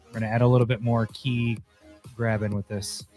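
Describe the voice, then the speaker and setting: A man hosting a livestream talks casually as he works, his voice a little hoarse. He thinks aloud and grumbles when things go wrong. Faint music plays quietly behind him. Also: medium pitch, flat delivery, a little fast. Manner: chatty, easily annoyed, thinking aloud. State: slightly hoarse, losing his voice. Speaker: host. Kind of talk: casual livestream commentary while editing.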